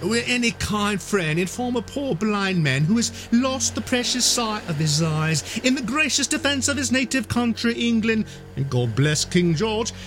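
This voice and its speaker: Cruel blind old pirate beggar, whining sing-song to cold menace, storybook audiobook, faint music behind. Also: male voice, sits high, fairly bright tone, pitched above the performer's own voice. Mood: wheedling sing-song. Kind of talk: audiobook character voice.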